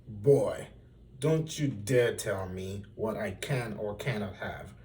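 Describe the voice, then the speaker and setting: A man's voice: on the low side, slow, a little mellow, far from the mic. A man voicing a hot-tempered, imperious old storybook king in a bellowing, emphatic, commanding manner. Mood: angry, demanding.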